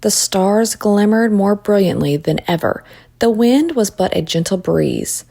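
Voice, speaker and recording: A woman reading a children's story aloud in expressive narration. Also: medium pitch, unhurried, vocal fry.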